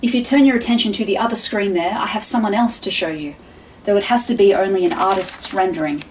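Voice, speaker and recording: An actress plays a courteous, articulate defense attorney making a formal opening statement in an audio drama. Her voice is medium in pitch and composed as she explains things to the jury. She sounds somewhat distant from the mic, with faint noise behind her. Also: fast talker, natural voice. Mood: composed, explanatory.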